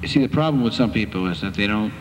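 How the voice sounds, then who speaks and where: An older man presiding as a judge in a courtroom, speaking in a measured, stern, lecturing way. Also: fairly low pitch, animated. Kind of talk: courtroom proceedings. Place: municipal courtroom. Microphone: bench microphone. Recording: reverberant courtroom, background noise.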